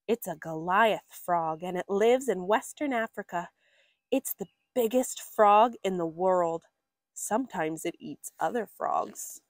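A woman reading a children's nature picture book aloud with expressive, drawn-out emphasis for young listeners. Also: fairly high pitch, no room sound, studio quality. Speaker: story reader for children.

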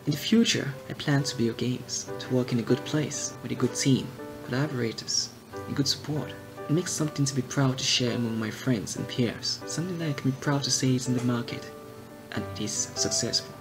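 Young man with a gravelly, earnest voice. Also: medium pitch, a little fast, over music, poor audio. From Cameroon. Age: young adult. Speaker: software engineering graduate. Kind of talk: prepared testimonial.

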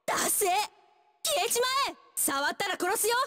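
A woman's voice: very high voice, lively intonation, normal speed, pushed and bright, big-room sound, heavily acted. An actress voicing a rude, foul-mouthed tough young fighting girl, coarse and sneering, in a Japanese fighting game. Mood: aggressive, scornful.

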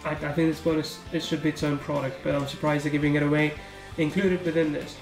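A man with a high voice and fairly even intonation talks through product pages, casual and thinking aloud. His voice sounds roomy and echoey, with faint guitar music underneath. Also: fast talker, gravelly. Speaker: software reviewer. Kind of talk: screen-recorded product walkthrough.

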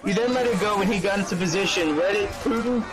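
High pitched guy on game voice chat reading stuff out all dramatic, trips over words, music playing. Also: low-quality recording. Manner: halting, stumbling over words. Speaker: gamer reading aloud in voice chat. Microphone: online game voice chat.

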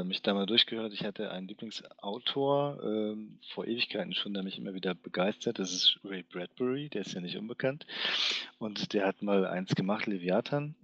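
A man's voice: low-pitched, vocal fry, fairly bright tone, husky, dry recording. A man chatting casually as a guest on a podcast. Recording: dry, dull muffled recording.

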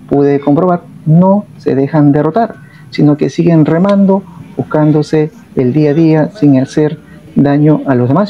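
A Peruvian man in his thirties telling a personal travel story straight to the camera, reflective and steady, often adding little tag questions. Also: fairly high pitch, gravelly, lo-fi sound, a little hiss or hum. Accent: Peruvian Spanish. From Peru. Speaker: vlogger.

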